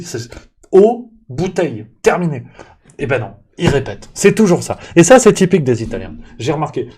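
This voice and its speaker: A man's voice: on the high side, a little mellow, slightly rough. Man ranting in mock exasperation. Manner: parodies waiters with exaggerated Italian accent. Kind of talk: comic rant.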